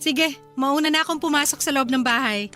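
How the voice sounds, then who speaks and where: A woman's voice: sits high, brisk, sharp tone. An actress playing a caring young wife and mother in a radio drama, sweet and polite to her elders. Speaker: wife character. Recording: faint music bed behind.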